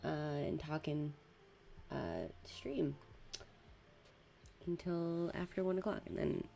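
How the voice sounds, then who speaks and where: A young woman hosting a casual streamed podcast. She giggles often between words, and her voice is worn from recent shouting. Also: low-pitched, slow, creaky, a little hiss or hum. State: losing her voice. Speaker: podcast host and VTuber.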